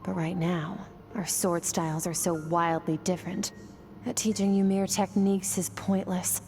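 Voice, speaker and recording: A low, steady voice of a stern woman sword master, acted by a woman. She lectures calmly and deliberately in a game cutscene, with faint music under her. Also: close to an everyday voice. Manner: calm, measured, instructive. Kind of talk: game voice acting.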